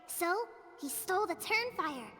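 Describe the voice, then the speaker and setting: An earnest young child recounting legends in a video game. The voice is extremely high and bright. It rings with long reverb. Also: female voice, animated, measured pace, heavily acted. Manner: earnest storytelling.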